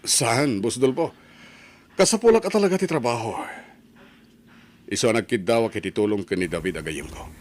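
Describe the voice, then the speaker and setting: A man's voice: mid-range voice, lively intonation, moderate pace, long pauses, natural voice. A man playing a stubborn young son in a family radio drama, speaking insistently and emphatically. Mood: eager. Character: stubborn, restless young son desperate for money.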